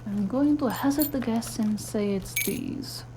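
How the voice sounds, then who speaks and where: A woman's voice: a little high, unhurried, noisy background. A woman playing a tough, blunt, sardonic investigator's partner in a cyberpunk noir audio drama. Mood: dry, scornful. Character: tough, foul-mouthed, sardonic female cyberpunk partner in crime.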